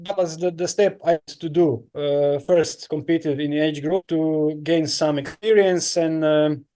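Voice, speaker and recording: Croatian guy in his 30s, kinda high voice, halting english with lots of uhs. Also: steady tone, warm tone. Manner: halting non-native English with many fillers. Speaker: guest, professional triathlete. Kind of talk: casual interview answers.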